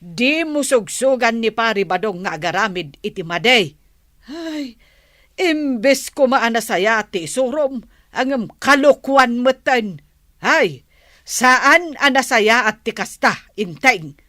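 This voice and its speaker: Actress as a slow, high-voiced reproving village wife. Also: female voice, animated, bright, forceful tone, dry recording, clean studio sound, heavily acted. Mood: reproachful. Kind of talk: Ilocano radio comedy drama acting.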